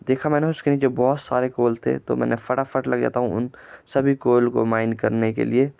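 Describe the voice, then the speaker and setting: A man narrates his own gameplay in an even, level tone, his voice smooth and clear even with a sore throat. He tells it plainly and often repeats words as he goes. The voice is dry and close to the mic. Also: medium pitch, normal speed, dry recording. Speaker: gaming channel creator.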